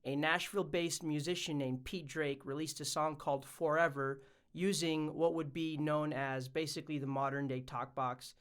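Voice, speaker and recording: Clear, higher-voiced man presenting an explainer. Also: fairly even intonation, normal speed, a bit bright. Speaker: YouTube music production presenter. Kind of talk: casual explainer vlog.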